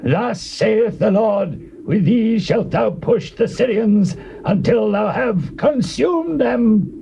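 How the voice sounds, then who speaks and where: A zealous false prophet drags out his oracle slowly. The high voice creaks with incantatory fervour, over slight tape hiss. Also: lively intonation, warm tone, full character voice. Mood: fervent. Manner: drawn-out, incantatory. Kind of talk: dramatized Bible reading, stage acting. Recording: old cassette with faint noise.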